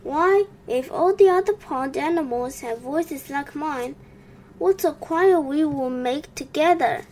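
A woman's voice: sits high, a little slow, a little mellow, a little hiss or hum. A woman voicing a proud male tree frog who brags about his singing in a raised voice. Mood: boastful. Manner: bragging, then plaintive.

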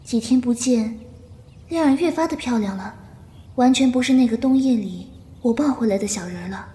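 A woman playing a gentle, affectionate young disciple and elder martial sister in a Chinese period audio drama, with a tender, coaxing manner. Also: a little high, normal speed, husky. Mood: teasing, puzzled.

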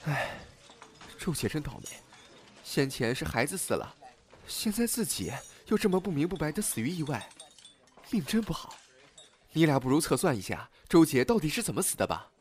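An actor playing a timid, excitable young man with a fretful, dramatic manner in a Chinese audio drama. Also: on the high side, normal speed, slight background noise. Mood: mournful. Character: timid, excitable young man, proud yet easily scared.

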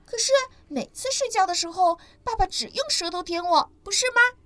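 Woman voicing boastful baby ankylosaur, high, bright, childish and smug. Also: moderate pace.

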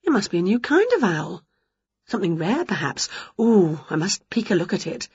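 A woman voicing an excitable, greedy, scatty woman from a children's storybook, gushing and exclaiming. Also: on the low side, normal speed, breathy edge, no echo, muffled recording, exaggerated voice. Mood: wondering.

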